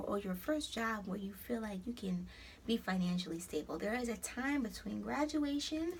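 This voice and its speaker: A woman's voice: medium pitch, gravelly, a little hiss or hum. A young woman with a New York accent, hosting a casual motivational vlog and encouraging her viewers, calling them honey. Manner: warm, preachy and encouraging, calls viewers honey. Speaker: host of an encouragement site.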